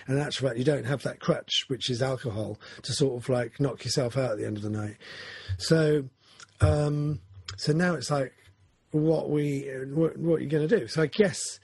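A man's voice: mid-range voice, a little mellow, breathy edge, no echo. A man, talking through his goals in a casual, rambling, self-correcting chat. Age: 40s.

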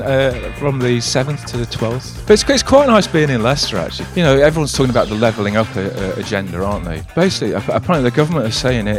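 Fast, hesitant comedian over music. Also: male voice, medium pitch. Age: middle-aged. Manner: hesitant, stammering repetitions. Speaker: stand-up comedian. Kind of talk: deadpan one-liner comedy in interview answers. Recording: music playing behind the voice. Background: music bed.

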